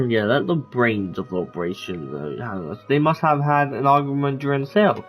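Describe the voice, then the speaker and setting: A man's voice: mid-range voice, big pitch swings, fairly quick. Man casually commenting over game music. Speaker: streamer playing a story quest.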